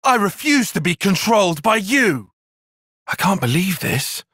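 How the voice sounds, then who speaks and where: A young military strategist for a video game cutscene. He is guarded and bookish, choosing exact, formal words with dry sarcasm. His pitch moves in a lively way, the voice is slightly husky, and the recording is close and dry. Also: unhurried, no room sound, heavily acted. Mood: agitated, outraged. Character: brainy, guarded young male soldier-tactician, prickly and analytical.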